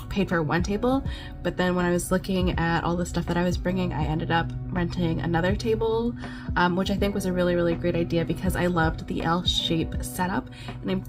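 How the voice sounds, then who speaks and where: A young woman vlogging casually and upbeat about her craft sales, with lots of ums. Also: lowish voice. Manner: chatty, upbeat, many ums. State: losing her voice from a cold. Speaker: crochet vlogger. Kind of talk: casual vlog chat. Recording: clear background music.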